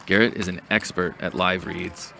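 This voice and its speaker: A man narrating a scripted poker hand breakdown as a commentator, in a measured, explanatory way. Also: deep voice, steady tone, gravelly, a crowd in the background.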